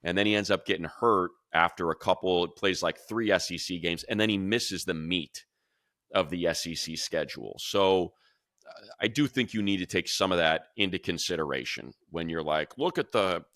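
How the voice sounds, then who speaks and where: Deep-voiced man talking football. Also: normal speed, fairly bright tone, dry recording, studio quality. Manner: conversational, opinionated, thinking aloud. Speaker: sports radio announcer and analyst. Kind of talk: casual unscripted football draft commentary.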